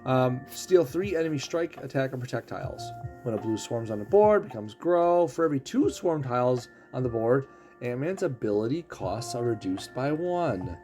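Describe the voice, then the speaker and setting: A man's voice: mid-range voice, lively intonation, normal speed, dark tone. Give me a man explaining game mechanics step by step while losing his voice, with faint music underneath. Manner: explanatory, walks through ability text. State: losing his voice, clearing throat. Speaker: game streamer. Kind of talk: casual game commentary.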